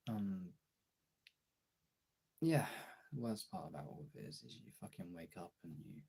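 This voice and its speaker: A very low-voiced man talks casually and personally, as a streamer does to viewers. His voice is husky and full of fry, and his delivery is halting and candid, with frequent swearing. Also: sing-song, dark tone, rough recording. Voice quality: gravelly, husky.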